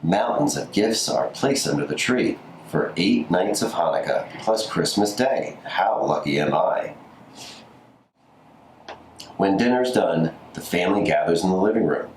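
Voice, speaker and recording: A man's voice: deep voice, animated, a little slow, a little mellow. A man reading a children's holiday picture book aloud as a scripted narration. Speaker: community story reader. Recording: roomy echo, faint background noise.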